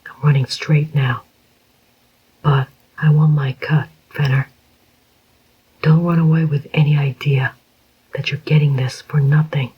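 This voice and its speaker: A reader whispering the part of a calm, scheming male club owner in a slow, even voice. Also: a little high, breathy edge. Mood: cool. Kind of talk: whispered audiobook reading. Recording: dull lo-fi recording.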